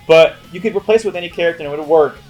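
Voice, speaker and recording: Male co-host, slightly high voice, joking and opinionated, profane casual film chat. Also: rapid-fire, darkish tone, soft background music. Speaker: podcast co-host. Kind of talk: casual joking banter about movies.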